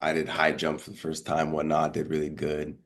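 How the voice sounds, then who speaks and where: A young man with a very low, slightly stuffed-up voice. He talks as a guest in a casual interview, telling stories in loose, slangy language and voicing the people in them. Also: completely flat delivery, moderate pace. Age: young adult. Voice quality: congested. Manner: chatty, informal, slangy, acts out remembered dialogue. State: congested, under the weather. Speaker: guest, college high jumper.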